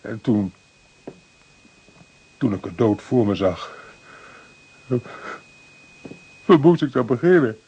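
An actor in a radio play, playing a distraught, guilt-ridden poor painter who stammers, halts and repeats himself. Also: male voice, mid-range voice, big pitch swings, a little slow, vocal fry, warm tone, husky, lo-fi sound, heavily acted. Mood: breaking down. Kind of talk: Dutch radio play acting.

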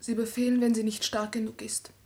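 An actress playing a sorrowful but resolute young daughter in a classical radio tragedy, hushed, solemn and restrained. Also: female voice, fairly high pitch, little pitch movement, a little fast, lightly acted. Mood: resigned.

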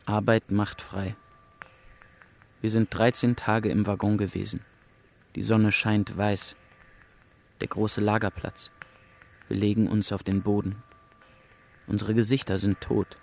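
An actor in a German radio drama playing a numb deportee, recounting in stark, detached fragments. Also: male voice, fairly low pitch, flat delivery, measured pace, creaky, pauses often. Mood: desolate, detached. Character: male deportee, bleakly recounting camp arrival, numb.

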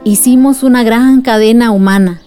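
A woman playing a patient villager telling a story in a radio drama. Her voice is mellow and gentle, with faint music behind. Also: on the high side, moderate pace, natural voice. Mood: patient, reassuring. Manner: gentle, explanatory. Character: patient woman villager recounting women's struggle to a child.

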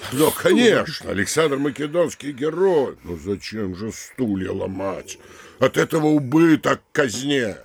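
An actor playing a pompous, anxious middle-aged town mayor in a comedy audio drama, officious and emphatic, with a gravelly, husky voice. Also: male voice, fairly high pitch, full character voice. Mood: exasperated. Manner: officious, lecturing, theatrically emphatic with pauses.

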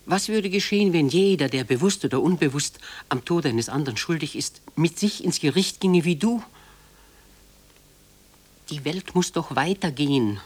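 A woman's voice: low-pitched, animated, moderate pace, darkish tone, husky. An actress playing a proud, protective elderly mother in a German radio drama, formal and emphatic as she pleads for her son. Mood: urgent, reasoning. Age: older.

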